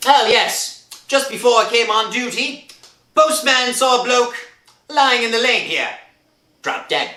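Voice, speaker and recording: A woman's voice: fairly high pitch, animated, a little slow, sharp tone. A woman playing a casual, skeptical police officer in an old-time radio drama, offhand and wry. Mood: matter-of-fact. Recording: echoey room, faint music behind.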